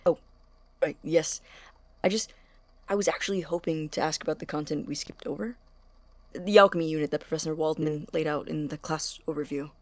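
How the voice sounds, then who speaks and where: An actor playing an eager, nervous young science student in an audio drama, hesitant, stammering and apologetically polite. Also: male voice, a little high, steady tone, brisk, no room sound, close to an everyday voice. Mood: earnest, hesitant.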